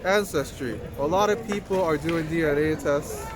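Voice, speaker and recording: A man hosts an informal interview outdoors in the city. His voice is a little high. He puts his questions in a chatty, improvised way. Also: normal speed.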